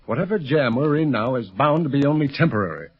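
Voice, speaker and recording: A man playing a stern, sardonic American secret police leader with a husky voice in a 1930s radio serial. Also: medium pitch, expressive, fast talker. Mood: exasperated. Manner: exasperated, authoritative, sardonic. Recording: muffled 1930s radio recording.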